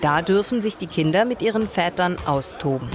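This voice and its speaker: A woman's voice: lowish voice, animated, normal speed, over music. A woman reporter reading a scripted radio reportage.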